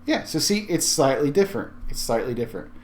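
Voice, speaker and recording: A man with a mid-pitched voice hosts a casual tech walkthrough. He talks conversationally and thinks aloud while explaining each step. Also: sing-song, fast talker, slight background noise. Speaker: tech tutorial host. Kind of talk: casual screen-share tutorial.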